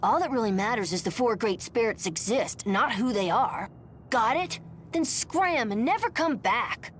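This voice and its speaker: A woman's voice: a little high, animated, normal speed, lightly acted. A woman voicing a brash, irritable young wind spirit in a video game, curt and scornful. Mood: impatient.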